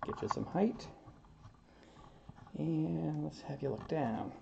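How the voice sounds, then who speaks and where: A man's voice: on the high side, slow pace, vocal fry, background noise. A man hosting his maker channel from a home basement workshop, chatting casually and joking at his own expense as he assembles a kit. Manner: chatty and self-joking, chuckles often. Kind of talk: casual talk while assembling a 3D printer kit. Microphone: camera on a tripod across the table. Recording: roomy, distant and slightly dull.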